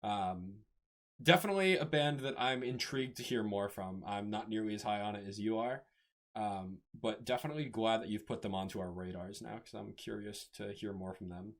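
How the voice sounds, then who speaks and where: A young man co-hosting a podcast, giving his measured opinion in casual chat. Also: on the low side. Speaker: podcast co-host. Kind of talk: casual music review chat.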